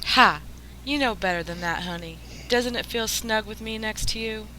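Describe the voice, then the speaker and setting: A woman's voice: fairly high pitch, normal speed, sharp tone. A woman playing a flirtatious, scheming crook in a 1940s-style radio drama, coaxing and gushing. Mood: adoring, coy. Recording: faintly noisy radio drama recording.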